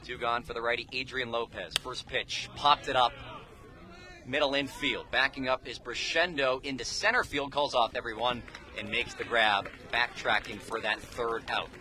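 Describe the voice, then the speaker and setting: A man's voice: mid-range voice, fairly even intonation, normal speed. A man calling live baseball play-by-play for radio in clipped, telegraphic phrases. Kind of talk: live sports commentary. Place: outdoors at a baseball game.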